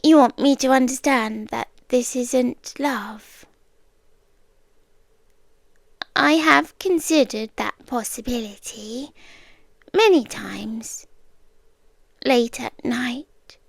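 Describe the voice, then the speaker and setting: A British woman acting in an ASMR roleplay as an obsessive, calmly possessive girlfriend, speaking intimately and often slipping into whispers. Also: on the high side, takes it slowly, vocal fry, no room sound, studio quality. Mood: brooding, obsessive. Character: obsessive, soft-spoken yandere girlfriend, calmly possessive young woman captor.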